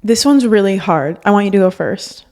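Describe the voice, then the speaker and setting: A woman's voice: medium pitch, lively intonation, moderate pace, mellow. A young woman whose first language is Spanish, co-hosting a podcast in informal, candid conversation. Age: young adult. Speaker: podcast co-host. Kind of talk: casual podcast chat.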